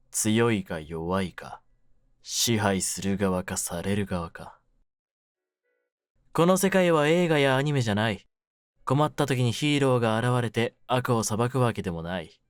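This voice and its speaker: A man playing a cynical, wounded young mafia kidnapper in an audio drama, with a low voice and rough, brooding delivery. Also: a little slow, dry recording, studio quality, lightly acted. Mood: cold, taunting. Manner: rough masculine slang, taunting, brooding delivery. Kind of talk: Japanese situation-voice audio drama, ASMR.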